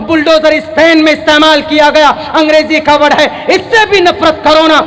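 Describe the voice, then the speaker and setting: Very high, forceful male preacher in heavy reverb. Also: flat delivery, moderate pace. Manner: emphatic, declamatory, repeats phrases for stress; mixes scripture recitation and English words into his address. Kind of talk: fiery sermon oratory to a crowd. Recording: noisy, long-reverb public address sound.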